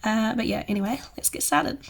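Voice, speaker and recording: A young woman with a hoarse, croaky voice from illness, chatting casually as she explains plant care. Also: fairly high pitch, a little fast. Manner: chatty and rambling, many "so yeah" fillers. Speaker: houseplant channel host. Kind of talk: casual tutorial to camera.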